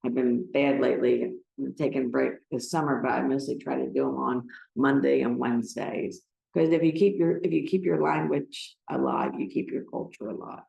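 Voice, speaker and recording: An older American woman giving a personal talk to a gathering, speaking in a halting, emotional way. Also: very deep voice, fairly even intonation, vocal fry, mellow, dull audio. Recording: roomy, echoing sound.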